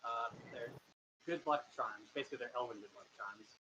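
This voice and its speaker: A man's voice: medium pitch, sharp tone, rough recording. A man runs a tabletop game over voice chat, tossing off casual descriptions of the people the party meets. A faint hiss sits under his voice. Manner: casual, describes characters in quick asides. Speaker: game master.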